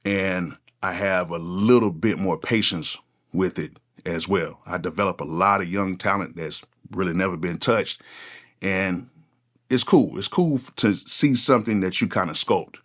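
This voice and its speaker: Deep-voiced casual man in his forties. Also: a little slow, fairly bright tone. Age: 40s. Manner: casual, conversational, repeats "go ahead" often. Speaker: music producer, channel host. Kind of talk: unscripted one-take talk to camera.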